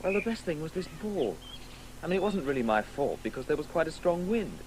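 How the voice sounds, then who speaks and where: Pedantic, haughty boy, animated and high. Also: fairly quick, slight background noise, poor audio. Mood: musing, matter-of-fact. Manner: pedantic, condescending explaining. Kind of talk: 1980s radio drama acting.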